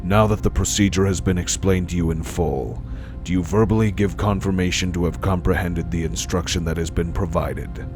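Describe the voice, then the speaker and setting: A stern starship captain played by a man in a sci-fi audio drama. His deep voice is formal and deliberate, full of authority, over clear background music. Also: steady tone, lightly acted. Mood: stern, official. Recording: music under the voice.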